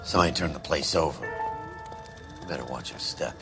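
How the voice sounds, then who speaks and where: An American actor playing a gruff, world-weary, sardonic middle-aged cop in a 1940s crime game, gravelly, blunt and slangy. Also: male voice, very deep voice, a little fast, over loud music. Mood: wry, grumbling. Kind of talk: video game dialogue, crime drama.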